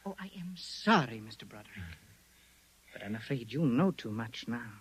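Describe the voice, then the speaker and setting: An actor in a 1950s radio drama playing a cruel, polite Martian invasion leader who speaks with deliberate, gloating menace. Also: male voice, medium pitch, animated, slightly rough. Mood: cold, calm. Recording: vintage 1950s radio recording.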